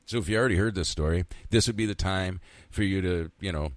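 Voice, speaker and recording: A deep-voiced man in his fifties hosts a solo podcast, rambling loosely from thought to thought, now and then cursing. His voice is close and dry, with no room sound around it. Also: fast talker, no room sound. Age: 50s. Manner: rambling, self-talking, frequent swearing. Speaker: podcast host. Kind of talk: casual solo podcast monologue.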